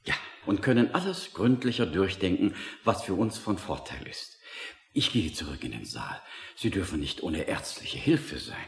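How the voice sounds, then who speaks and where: A man's voice: low voice, big pitch swings, moderate pace, gravelly, echoey room, full character voice. An actor playing a self-assured, reassuring male doctor in a radio play, pompous and breezily authoritative. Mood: breezy. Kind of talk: German radio play acting.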